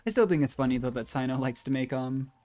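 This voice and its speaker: A woman giving relaxed, musing, casual commentary as she streams a game. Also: a bass voice, quick pace. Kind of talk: casual reactive gameplay commentary. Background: game music and dialogue.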